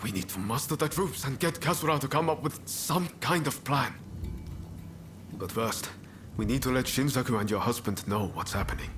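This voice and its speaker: A man's voice: mid-range voice, noisy background, natural voice. An actor as an earnest male rebel comrade. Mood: urgent, concerned. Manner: earnest, brisk, directive. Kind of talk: video game cutscene acting.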